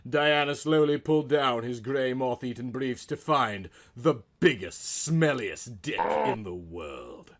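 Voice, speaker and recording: A man's voice: medium pitch, pushed and bright. A man narrating a comic story in a theatrical, mock-romantic style.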